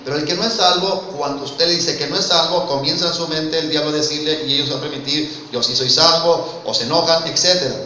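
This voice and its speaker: A man's voice: on the high side, fairly quick, few pauses, sharp tone, distant mic. A man from Mexico with a Mexican Spanish accent, preaching a sermon in church in an earnest way, often calling listeners "brother" and asking rhetorical questions. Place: church hall. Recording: very echoey hall, mic from afar, faint noise.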